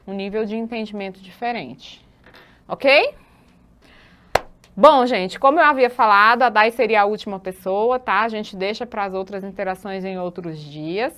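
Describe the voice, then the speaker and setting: A woman's voice: mid-range voice, moderate pace, a bit bright. A woman with a Brazilian Portuguese accent teaching an online class over a video call, explaining patiently and often checking in with her students. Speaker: sign language teacher.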